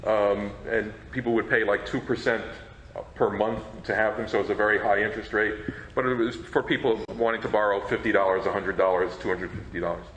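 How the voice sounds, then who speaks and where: A man's voice: on the low side, little pitch movement, fairly quick, fairly bright tone. A man co-presenting an informal talk, explaining things in a conversational way. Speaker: co-presenter, radio program collector. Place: indoor presentation room. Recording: echoey room, faint background noise.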